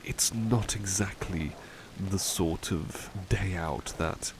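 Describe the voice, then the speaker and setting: A man's voice: deep voice, slow. A man playing a devoted, mild-mannered butler in an ASMR roleplay, speaking politely and formally, with hesitant, musing phrasing. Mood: pleased, bashful. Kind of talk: ASMR roleplay, personal attention. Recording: clearly noisy ambience behind voice.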